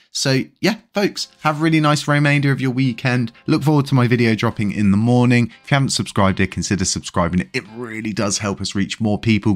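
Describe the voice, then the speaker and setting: A British man hosting a crypto channel, talking in a rambling, casual way with lots of fillers. He is congested and slightly wheezy. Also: lowish voice. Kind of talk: casual news commentary.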